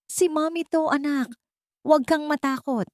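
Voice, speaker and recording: Woman narrator as desperate mother, hoarse, pleading, high-pitched, audio drama storytelling. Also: fairly even intonation, moderate pace, no room sound, studio quality, natural voice. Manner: anguished, pleading. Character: desperate mother searching for her sick child.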